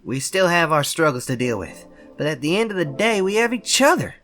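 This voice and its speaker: A man's voice: a little high, lively intonation, fairly quick, fairly bright tone. A young man voicing a scrappy, earnest thirteen-year-old cartoon bunny boy with a Southern US accent, emphatic and folksy as he pleads. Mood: exasperated. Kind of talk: dramatic cartoon monologue.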